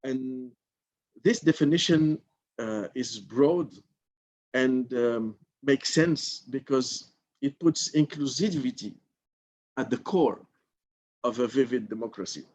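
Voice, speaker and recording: A man's voice: mid-range voice, slow pace, pauses often, darkish tone. A man from Morocco who served as a member of parliament, giving a thoughtful talk at a mentorship forum, stopping often on fillers. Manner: thoughtful, hesitant with many fillers. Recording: slightly dull lo-fi audio.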